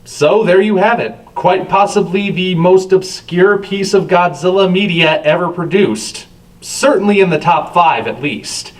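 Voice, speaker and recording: A man's voice: high-pitched, measured pace. A man hosting a review with scripted commentary and a wry, sardonic manner. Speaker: reviewer, show host. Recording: distant from the microphone.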